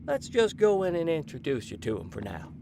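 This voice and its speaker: Asylum doctor, male actor: controlling, ominous, formal, creaky voice on the higher side. Audio drama. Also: wildly swooping pitch, background noise, full character voice. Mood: brisk, formal. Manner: formal, authoritative, deliberate.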